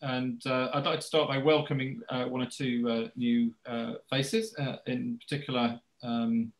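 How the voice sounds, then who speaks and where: A man's voice: mid-range voice, level tone, a little slow. A man chairing a meeting over a video call, hesitant, with many uhs and repeated words. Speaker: chairman of a society. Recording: echoey room on a video call.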